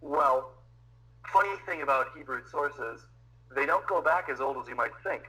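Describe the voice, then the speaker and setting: Man, bright and forceful, who rambles and corrects himself mid-thought while answering questions. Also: mid-range voice, lively intonation, normal speed, rough recording. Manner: rambling, self-correcting, apologetic. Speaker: debate participant answering questions. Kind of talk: casual online discussion.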